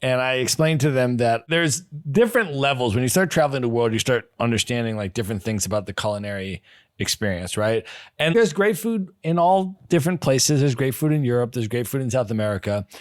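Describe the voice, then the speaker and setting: A man hosting a conversational podcast, mid-pitched and eager. He talks with lively, animated enthusiasm. The recording is dry and close, with no room sound. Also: brisk, no room sound. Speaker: podcast host. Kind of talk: conversational interview.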